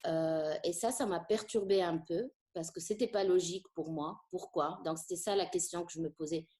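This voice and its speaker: Middle-aged woman teacher, Tunisian-born, Arabic native; warm low voice, reflective and hesitant. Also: normal speed. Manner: thoughtful, hesitant with frequent fillers. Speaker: French teacher. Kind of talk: conversational interview.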